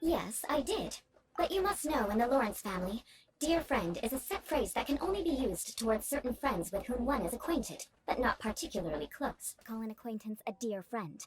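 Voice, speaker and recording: Haughty young noblewoman for a game: formal, imperious, ornate, highly animated delivery. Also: moderate pace, rough recording. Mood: prim lecturing. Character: haughty young noblewoman, prim, vengeful, awkwardly grandiose. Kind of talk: video game voice acting. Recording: game music behind the voice.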